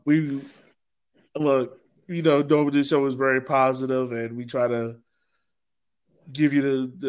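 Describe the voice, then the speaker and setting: Guy hosting a sports podcast on a video call, mid voice, kinda resigned. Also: medium pitch. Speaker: podcast host. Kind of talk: casual sports podcast chat. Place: small room at home, on a video call.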